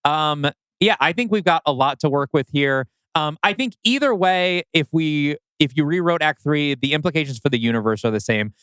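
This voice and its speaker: A man's voice: on the high side, expressive, moderate pace, hardly any pauses, a bit bright, clean tone, no echo. A man co-hosting a podcast in casual creative discussion. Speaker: podcast co-host.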